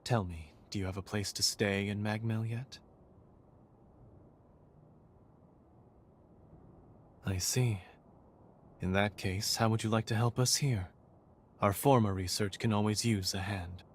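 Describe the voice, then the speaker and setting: A male actor playing a composed, courteous institute leader in a video game cutscene, speaking in formal, measured, eloquent phrases. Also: fairly low pitch, steady tone, slight background noise, natural voice. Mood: calm, polite. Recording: game audio with background music.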